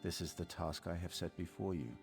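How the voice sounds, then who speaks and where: A man's voice: low voice, fairly even intonation, brisk, gravelly, over music. An actor playing a sinister, solemn male recruiter for an assassin cult in a video game, with theatrical, silky menace. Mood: silky, commanding.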